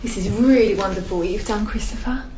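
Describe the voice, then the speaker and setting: An actress playing a loving, anxious, gently sceptical young mother in a radio drama, with an understated, intimate delivery. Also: mid-range voice, quick pace, natural voice. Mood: warm, teasing. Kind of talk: naturalistic radio drama acting. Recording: slightly dull, faintly noisy recording.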